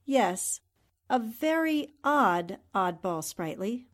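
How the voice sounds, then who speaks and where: A woman playing a composed, shrewd newspaperwoman in a 1940s-style radio drama, speaking in a polite, measured way. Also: fairly high pitch, animated. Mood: suspicious.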